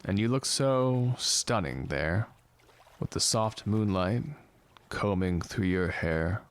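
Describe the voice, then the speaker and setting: A low male voice for an audio drama. He is a fatalistic philosopher aboard a ship, speaking solemnly and deadpan, as if every thought were a grave conclusion. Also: slow pace. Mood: tentatively romantic. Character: gloomy philosophical ship's man, fatalistic and slow to romance.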